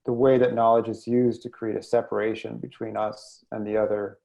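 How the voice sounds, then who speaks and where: An American man speaking as a guest in an interview, reflective and hesitant, often pausing mid-sentence as he thinks. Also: fairly low pitch, flat delivery, vocal fry, mellow. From United States. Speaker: guest poet and editor. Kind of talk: thoughtful interview conversation.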